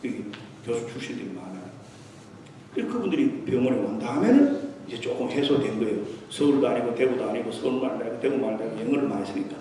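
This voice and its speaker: Animated pastor in echoey church. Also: male voice, medium pitch, fast talker, crowd noise. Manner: animated, anecdotal, mixes English terms. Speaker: guest revival pastor. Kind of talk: storytelling sermon. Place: church sanctuary. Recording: echoey church hall from far off.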